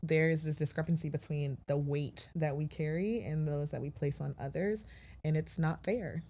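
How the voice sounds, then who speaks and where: Woman therapist, low voice, measured and affirming, podcast guest. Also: dark tone. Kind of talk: reflective podcast conversation.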